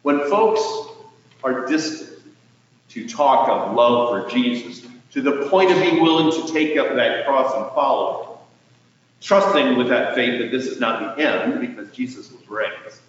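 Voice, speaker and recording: A man preaching a sermon as a pastor, speaking in a measured, earnest way with emphatic swells and soft endings to phrases. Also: mid-range voice. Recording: distant mic in echoey hall, dull, faintly noisy.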